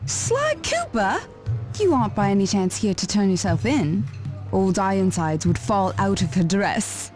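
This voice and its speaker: Sly tigress policewoman, cartoon game, lively swooping intonation, mid pitch, silky teasing poise. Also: measured pace, faint music behind. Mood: surprised, teasing. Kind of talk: cartoon video game acting.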